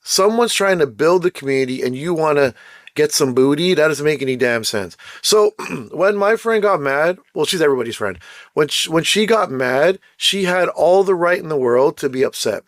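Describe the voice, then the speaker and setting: A man hosting his own channel, ranting bluntly and emphatically, his voice going hoarse with frequent throat-clearing. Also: fairly high pitch, lively intonation, moderate pace, dry recording, studio quality. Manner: blunt, emphatic, repeats points. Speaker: channel host. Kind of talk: casual ranting commentary.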